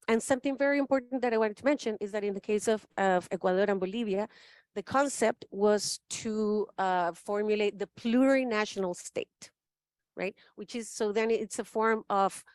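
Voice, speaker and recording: A woman speaks as a forum panelist. She is thoughtful and emphatic and often checks in with her listeners. Her voice sits at a middle pitch and the sound is dry. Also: no room sound. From Chile. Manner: thoughtful, frequent "right?" tags, emphatic. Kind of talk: spoken forum remarks.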